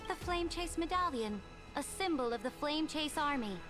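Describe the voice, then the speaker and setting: An actress playing an imperious, calculating female ruler in a video game, with a pompous, deliberate and theatrical delivery. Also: high-pitched, animated. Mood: haughty, commanding. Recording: game music behind.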